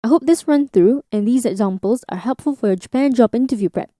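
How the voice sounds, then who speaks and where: A woman's voice: on the high side, fairly quick, warm tone, no room sound, studio quality. A woman presenting a scripted educational podcast as host and language teacher.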